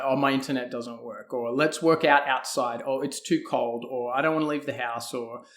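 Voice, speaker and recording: A man's voice: a little high, fairly bright tone. Australian man thinking aloud in conversation. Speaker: guest, fitness coach. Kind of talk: conversational interview.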